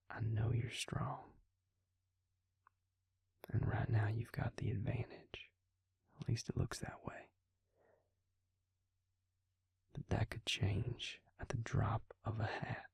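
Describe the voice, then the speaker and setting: Man acting a hushed, gravelly, protective vampire. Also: no room sound, clean studio sound, full character voice. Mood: tender, worried. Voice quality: breathy, gravelly low murmur. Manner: hushed, halting, earnest pleading. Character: worried, protective male vampire, gruff but caring. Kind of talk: intimate audio roleplay acting.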